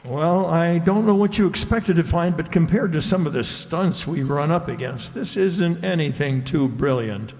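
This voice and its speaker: An actor playing an easygoing customs agent in an old-time radio drama, speaking in a chatty, matter-of-fact way. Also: male voice, fairly high pitch, normal speed, hardly any pauses, a little mellow, in a large hall, lightly acted.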